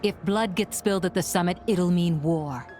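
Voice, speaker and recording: A woman's voice: lowish voice, moderate pace, a little mellow, soft background music, close to an everyday voice. A woman playing a grave, poised aide in a video game, speaking in a formal, serious way.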